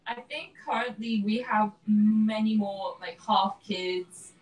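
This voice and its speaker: A woman's voice: medium pitch, steady tone, slow pace. A woman in her twenties from Japan, Japanese as her first language, chatting easily as a guest and laughing often between phrases. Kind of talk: casual interview chat. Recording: voice a bit far from the mic.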